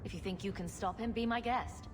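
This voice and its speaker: An actress playing a wry, self-assured young rogue adventurer in a video game, with a dry, sarcastic manner. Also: female voice, mid-range voice, fairly quick, over music, lightly acted. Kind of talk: video game cutscene acting. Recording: clear background ambience noise.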